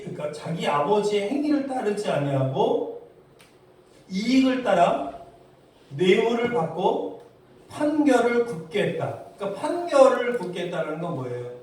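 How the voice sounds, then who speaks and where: A man's voice: sits high, darkish tone, a little hiss or hum. A man preaching a sermon, putting rhetorical questions to his congregation. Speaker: pastor preaching. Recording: very echoey hall, distant mic.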